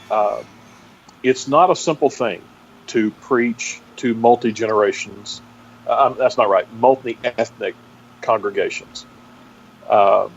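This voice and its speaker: A middle-aged Texan man reflects in an interview, his voice on the low side. He speaks haltingly and restarts his phrases. The sound is dull, with faint noise behind it. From Texas, United States. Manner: halting, searching for words with repeated false starts. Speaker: interview guest, pastor and seminary teacher. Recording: dull, band-limited audio with faint noise.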